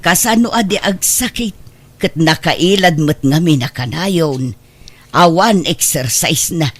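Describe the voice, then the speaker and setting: A woman's voice: low voice, expressive, slow, dry recording, heavily acted. An actress playing a caring, outspoken neighbour woman in a radio drama, concerned and ready to scold. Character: caring, outspoken older neighbour woman who employs the boy. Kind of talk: Ilocano radio drama acting.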